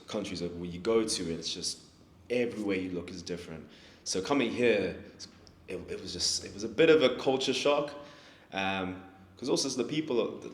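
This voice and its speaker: A young man from Africa, talking through his story in a laid-back, halting way as an interview guest. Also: on the low side. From South Africa. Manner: laid-back, hesitant, restarts often. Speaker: guest, fighter. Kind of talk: casual interview. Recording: echoey room with faint noise.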